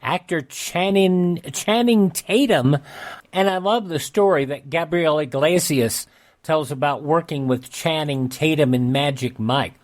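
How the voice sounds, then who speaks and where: A man hosting a radio show, reading out an almanac with casual asides in a halting, conversational way. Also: fairly high pitch. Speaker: radio host.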